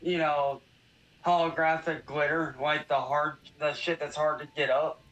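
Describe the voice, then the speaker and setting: A man's voice: fairly high pitch, level tone, unhurried, fairly bright tone, muffled recording. A man venting angrily and swearing in a casual video. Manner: angry, profane venting. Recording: echoey room.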